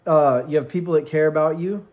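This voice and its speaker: A man's voice: fairly high pitch, muffled recording. A man in his late twenties from the United States, talking earnestly into a microphone at home in a casual, rambling vlog with many restarts. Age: 20s. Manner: earnest, rambling, with frequent restarts and trailing pauses. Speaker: vlogger and streamer. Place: room at home with lights, plants and a cat on his lap. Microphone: studio microphone.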